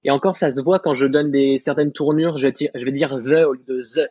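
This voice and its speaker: A young man from France in his twenties, a guest content creator talking through his story with animated self-assurance. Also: medium pitch, brisk, breathy edge, no echo. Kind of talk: casual podcast discussion. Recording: slightly muffled dry recording.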